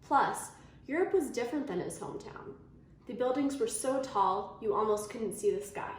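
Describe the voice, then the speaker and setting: Female storybook reader, highish expressive voice, lively intonation. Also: moderate pace. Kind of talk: animated read-aloud of a children's story. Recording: very echoey room, faint noise.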